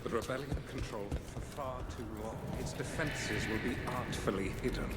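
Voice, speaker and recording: An actor playing a grave, imperious male war commander in a fantasy game, speaking in formal, measured phrases. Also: medium pitch, lightly acted. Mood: grave, scheming. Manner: formal, measured, portentous speech. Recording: noisy game scene, roomy echo.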